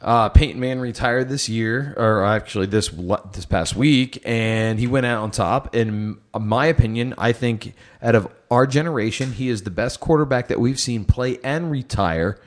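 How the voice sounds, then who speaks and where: A man's voice: mid-range voice, moderate pace, hardly any pauses. A man hosting a podcast with casual, teasing sports banter. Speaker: podcast host.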